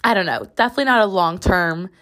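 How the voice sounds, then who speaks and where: A young woman hosting a casual solo podcast from her bathroom, her voice slightly raspy as it recovers from being lost, chatting in a rambling way full of "like"s. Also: lowish voice, quick pace, a bit bright. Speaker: podcast host. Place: home bathroom.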